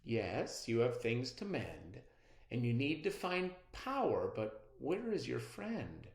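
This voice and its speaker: A storybook reader voicing a kindly grandfather who gives gentle, coaxing advice in a measured way. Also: medium pitch, big pitch swings. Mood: gently coaxing. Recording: roomy, echoey sound.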